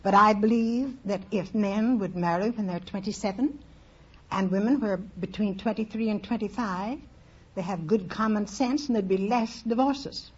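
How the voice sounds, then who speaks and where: A woman's voice: mid-range voice, moderate pace, slightly rough. An older woman answering unscripted as a contestant on a 1940s radio quiz. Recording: dull vintage radio recording.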